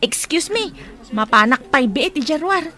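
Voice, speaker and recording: An actress playing a chatty, deferential young personal assistant in a radio drama. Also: female voice, sits high, lively intonation, a little slow, bright, forceful tone, full character voice. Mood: chatty, teasing. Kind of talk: Ilocano radio drama acting.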